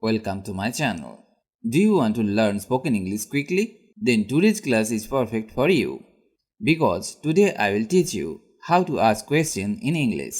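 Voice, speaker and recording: A man hosting his channel, opening an English lesson and addressing viewers directly in a friendly way. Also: mid-range voice. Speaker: channel host and English teacher. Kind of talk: lesson introduction.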